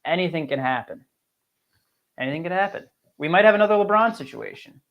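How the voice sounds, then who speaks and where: A man's voice: on the high side, lively intonation, fairly quick, creaky, highs cut off. A man co-hosting a fantasy sports show with casual, wry, joking banter.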